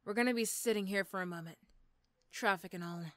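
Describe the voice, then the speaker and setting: A woman playing an irritable, sarcastic female supervillain in a roleplay audio drama, snappy and condescending but gruffly caring. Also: mid-range voice, fairly quick, no room sound, studio quality, voice pushed down, close to an everyday voice. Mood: dry, guarded.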